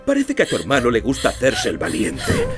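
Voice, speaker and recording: An actor playing a calm, sadistic male intruder in an audio drama, speaking with soft, taunting menace. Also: mid-range voice, a little fast. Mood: menacing.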